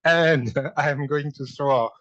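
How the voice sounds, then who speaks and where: A man's voice: fairly high pitch, slow pace, fairly bright tone, dull audio. A man moderating a panel discussion, handing over with a playful, teasing tone.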